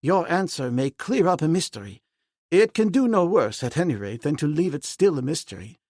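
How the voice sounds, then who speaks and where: A man reading an audiobook, voicing a calm, decisive young man raised in the jungle who speaks plainly. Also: on the high side, normal speed, no room sound, studio quality, voice pushed up. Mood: probing. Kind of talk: audiobook character voice.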